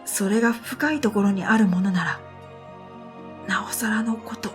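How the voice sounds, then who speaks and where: A woman narrating a scripted first-person radio drama in a gentle, unhurried way, with breathy phrase endings. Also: mid-range voice. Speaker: narrator and storyteller. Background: new-age music.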